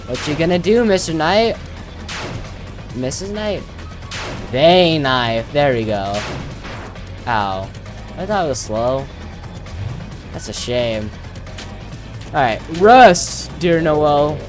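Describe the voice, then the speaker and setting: A man's voice: a little high, expressive, slow pace, pauses often, over music. A man commentating casually on his own video game playthrough, thinking aloud as he plays.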